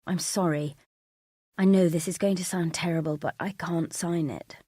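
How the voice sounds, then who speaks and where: A woman voicing an anxious, apologetic and easily overwhelmed woman in an audiobook story, pleading and halting. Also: lowish voice, fairly even intonation, warm tone, slightly rough, no echo. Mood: apologetic, hesitant. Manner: pleading, halting, self-excusing.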